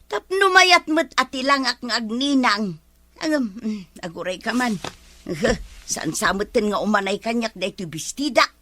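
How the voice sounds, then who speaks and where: A woman's voice: on the high side, animated, unhurried, sharp tone, slight background noise. A woman with an Ilocano accent playing a vain, quarrelsome wife who wants to borrow, in a colloquial radio drama. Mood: impatient.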